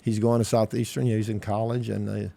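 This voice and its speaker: A man's voice: lowish voice, little pitch movement, fast talker, creaky. Chatty man in his fifties, hosting. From southeastern Louisiana. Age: 50s. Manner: warm, affectionate, says "man" and "yeah" a lot. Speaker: pastor and podcast host. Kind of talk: casual conversation.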